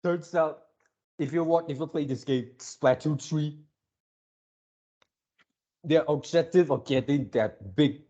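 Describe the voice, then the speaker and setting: A man giving casual fan commentary as a video creator, halting as he repeats words and searches for phrasing. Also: a little high, warm tone, highs cut off. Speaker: video creator reacting to a game series.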